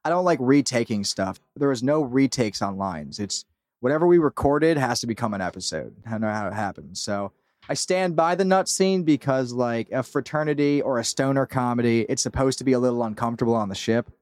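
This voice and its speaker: A man giving a casual interview as a podcast creator, informal and rambling, with animated asides. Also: mid-range voice, a little fast, no echo, studio quality.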